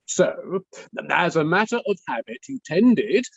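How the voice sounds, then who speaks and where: A man playing a courteous, deliberate barrister who pauses as he cross-examines, in a table read of an inquiry play. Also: sits high, animated, creaky, husky, no echo, full character voice. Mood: politely probing. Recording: dull, dry, lo-fi microphone.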